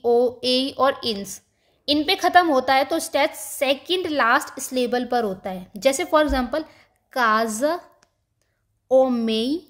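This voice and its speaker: A woman teaching a beginners' language lesson over slides, patiently slowing down to sound out each example word and mixing English terms into her explanations. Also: sits high, a bit bright. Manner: patient, slows down to spell out example words.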